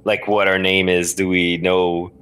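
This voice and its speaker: A man playing a story game as a podcast guest, asking questions and thinking out loud in casual talk. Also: low voice, little pitch movement, measured pace, clear, resonant tone.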